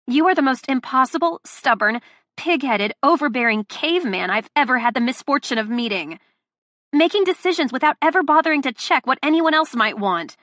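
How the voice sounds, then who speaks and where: A woman performing a young woman who is furious and near tears, ranting in a shrill voice pushed high and loud, as audiobook dialogue. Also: moderate pace, few pauses, dry recording, clean studio sound.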